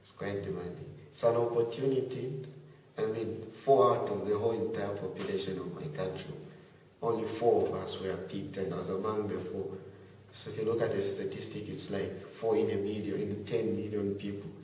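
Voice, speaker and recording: Deep-voiced Zambian teenage boy, echoey room. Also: fairly even intonation, moderate pace, warm tone, recorded from a distance, slight background noise. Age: teens. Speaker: interviewee. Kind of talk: casual interview answers. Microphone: far camera.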